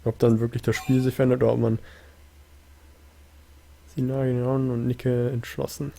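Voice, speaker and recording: A man's voice: medium pitch, flat delivery, moderate pace, creaky, dark tone. A man giving casual, musing let's play commentary on a video game in a laid-back way. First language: German.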